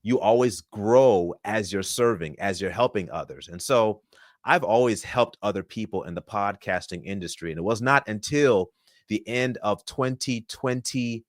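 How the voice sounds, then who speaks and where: A man's voice: lowish voice, normal speed, dry recording, clean studio sound. A man from Florida, in his thirties, answering interview questions in an upbeat, polished speaker's way. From Florida, USA. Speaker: podcast guest.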